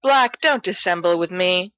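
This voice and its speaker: A woman reading a storybook as a bossy, sharp-tongued young woman with a booming, mocking manner. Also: expressive, measured pace, clear, resonant tone, dry recording. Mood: scornful.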